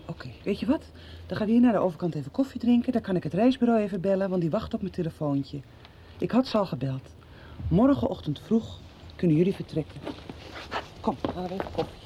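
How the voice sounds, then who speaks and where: A woman's voice: mid-range voice, animated, fast talker, warm tone, natural voice. An actress as a formal, earnest young woman. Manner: earnest, formal, taking charge and making plans. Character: earnest young woman who was hidden as a child, now protective. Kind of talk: 1990s radio soap acting. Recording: dull lo-fi radio recording with faint street noise.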